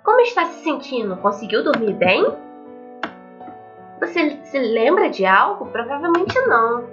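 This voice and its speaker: A woman's voice: fairly high pitch, sing-song, measured pace, fairly bright tone, highs cut off, soft background music. A woman voicing a sweet, cheerful, wistful schoolgirl character from an anime visual novel. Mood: cheerful, caring.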